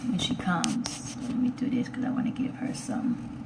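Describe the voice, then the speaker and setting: A woman's voice: fairly low pitch, big pitch swings, moderate pace, creaky, in a whisper. A woman hosting a casual live stream, chatting informally to viewers and half to herself as she sets up. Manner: informal, chatty, talking to herself while setting up. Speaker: livestream host. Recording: roomy, distant mic with loud background noise.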